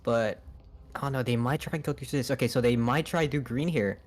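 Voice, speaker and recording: A man's voice: mid-range voice, a little fast. A man co-casting an esports match live as his voice wears out, rambling and filling gaps with "you know". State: losing his voice. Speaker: esports co-caster.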